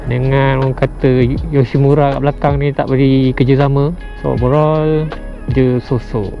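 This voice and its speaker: A man's voice: mid-range voice, little pitch movement, moderate pace, gravelly. A young man with a Malaysian accent giving a casual product review as a motorcycle vlogger, slangy and mixing in English words. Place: outdoors on a motorbike at a roadside. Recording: dull muffled audio, loud background music. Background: music added behind the voice.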